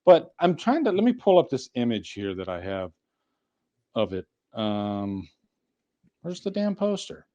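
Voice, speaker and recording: A man's voice: medium pitch, huge pitch swings, a little slow, long pauses, mellow. Casual male podcaster, mid-range voice, conversational and meandering, easy with profanity. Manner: rambling, conversational, swears casually. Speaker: podcast host.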